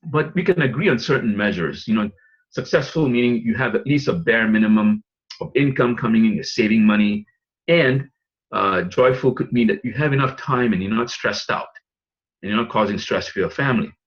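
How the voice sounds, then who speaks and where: A man's voice: on the low side, fairly quick, husky, muffled recording. A man who grew up in Singapore, presenting a business opportunity to an audience in a loose, rambling way with frequent fillers and light chuckles. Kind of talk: webinar pitch talk.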